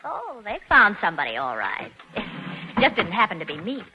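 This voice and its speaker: An actress playing a cool, double-crossing accomplice in an old radio crime drama, offhand and bossy. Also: female voice, mid-range voice, wildly swooping pitch, normal speed, music in the background. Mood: smug. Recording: dull, noisy old radio recording.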